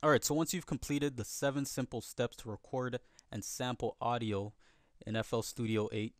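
A man narrating a software tutorial casually and conversationally, often checking in with the listener, recorded as a close voice-over. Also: mid-range voice, fairly even intonation, moderate pace, no echo. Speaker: tutorial host.

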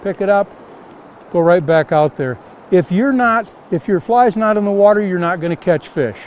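Older man, high voice, plain step-by-step teaching, outdoors in a river, faint ambient noise. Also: expressive, moderate pace. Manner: plain, repetitive step-by-step instruction. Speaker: fly fishing instructor. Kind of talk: outdoor casting lesson.

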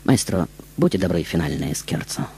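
An aged, theatrical film star, acted by a man addresses the audience in a stage monologue. The voice is low-pitched and the delivery deliberate and declamatory. Faint music plays behind the words. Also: very animated, gravelly, warm tone, breathy edge, heavily acted. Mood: melancholy, theatrical. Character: aged, reclusive, theatrical female film star looking back.

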